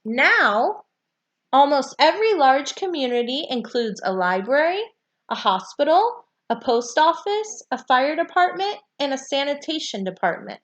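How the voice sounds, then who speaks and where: High-pitched woman reading aloud. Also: sing-song, measured pace. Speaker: reader reading a children's book aloud. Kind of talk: read-aloud narration of a children's nonfiction book.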